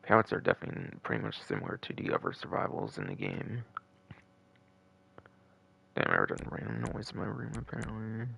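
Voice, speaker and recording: A man's voice: on the low side, huge pitch swings, brisk, vocal fry, pauses often, fairly bright tone, husky, low-quality recording. A man hosting a gaming live stream with casual, rambling, repetitive commentary and the odd swear word. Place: a room at home.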